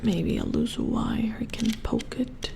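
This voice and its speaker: A woman playing a calm, methodical robot repair engineer in a soft-spoken ASMR roleplay, murmuring with concentration close to the microphone. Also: fairly high pitch, slow, creaky, full character voice. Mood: calm, focused.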